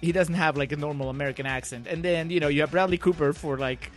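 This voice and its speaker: A man's voice: fairly high pitch, fairly even intonation, quick pace, soft background music. A man with a Peruvian accent, co-hosting a casual film debate podcast in a sarcastic, emphatic, argumentative way. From Peru. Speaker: podcast co-host.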